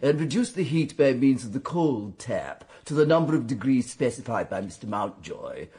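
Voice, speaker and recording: An actor playing a meticulous English manservant in a 1920s radio mystery, respectful, precise and a little hesitant. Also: male voice, mid-range voice, very animated, moderate pace, dark tone, slightly rough. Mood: precise, deferential. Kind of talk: radio mystery drama acting.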